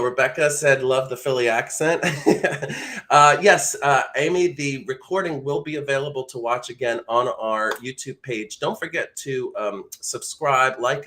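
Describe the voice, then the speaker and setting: Male host-coach, mid-range pitch, conversational and supportive, for live teaching and demo reads. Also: a bit bright. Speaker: voice acting coach and livestream host. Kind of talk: casual live teaching with read-aloud demonstrations.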